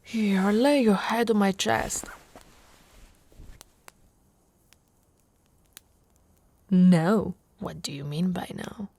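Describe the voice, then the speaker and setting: A woman playing a big, tough but tender orc wife in an intimate, soft ASMR roleplay. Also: mid-range voice, dry recording, full character voice. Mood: playful, teasing. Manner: soft, slow, intimate, often breathy with soft chuckles.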